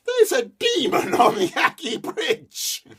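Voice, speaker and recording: A man's voice: low voice, animated, fairly quick, crowd noise, pitched below the performer's own voice. Man doing a shaken young man.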